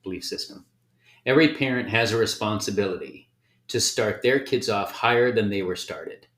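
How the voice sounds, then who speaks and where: Measured middle-aged man, lowish voice. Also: a bit bright. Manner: measured, thoughtful, supportive listener. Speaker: podcast co-host. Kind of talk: casual conversation. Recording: echoey room.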